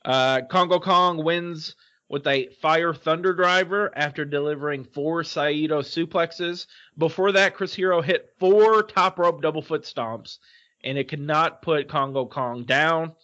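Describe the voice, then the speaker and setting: A man with a somewhat high voice talks loosely through a recap, filling gaps with "you know" and "uh". The podcast audio sounds a little dull and muffled. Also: steady tone, unhurried, sharp tone. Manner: loose, rambling, many fillers. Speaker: podcast co-host. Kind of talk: casual podcast chat recapping a wrestling show.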